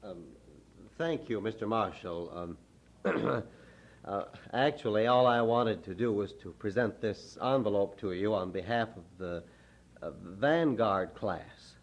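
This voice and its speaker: An actor playing a modest, sincere Bible class gentleman in a radio drama, speaking formally and respectfully in a measured manner. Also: on the low side, animated. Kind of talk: mid-century radio drama acting.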